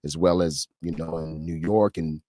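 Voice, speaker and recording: A man's voice: a bass voice, fairly even intonation, normal speed, mellow, no echo. An American man hosting an interview in a conversational style, agreeing and affirming as he goes. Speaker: interview host.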